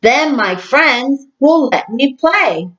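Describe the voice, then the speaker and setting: A woman voicing an eager, excitable young boy shark in a storybook reading, bouncy and exclaiming. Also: high-pitched, slow pace, lo-fi sound, voice pushed up, heavily acted. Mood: excited.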